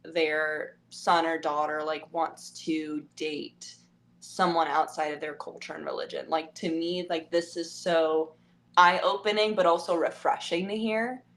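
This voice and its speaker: A woman from the United States hosting a casual podcast chat, quick to react and agree. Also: sits low, fairly even intonation, fairly bright tone. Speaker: podcast host.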